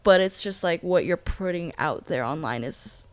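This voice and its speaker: A woman's voice: on the low side, animated, moderate pace, no echo. A young woman co-hosting a podcast, chatting casually in an agreeable, reflective way and thinking aloud. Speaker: podcast co-host. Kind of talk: casual chat.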